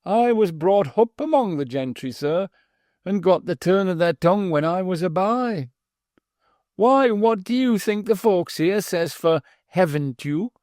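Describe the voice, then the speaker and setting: A man's voice: sits high, animated, unhurried, a little mellow, no echo, clean studio sound, pitched above the performer's own voice. A man doing a wheezy, mincing old-fashioned innkeeper. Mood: deferential. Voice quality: treble, wheezy, slightly mincing. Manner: deferential, says sir constantly. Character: plump pompous village innkeeper, former butler, male.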